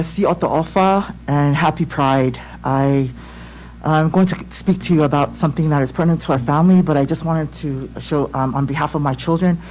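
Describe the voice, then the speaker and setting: A woman from Tonga speaking as a parent in public comment at a school board meeting, earnest and halting, with many restarts. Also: low-pitched, a little mellow, highs cut off, a little hiss or hum. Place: home room on a video call. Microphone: laptop or webcam mic on video call.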